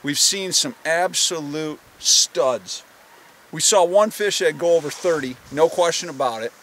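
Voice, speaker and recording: A man's voice: a little high, unhurried. A middle-aged man hosting a fishing video, chatting and cheering from a small boat on a lake. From Canada. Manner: excited, cheering on a fishing partner. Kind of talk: casual outdoor fishing chat.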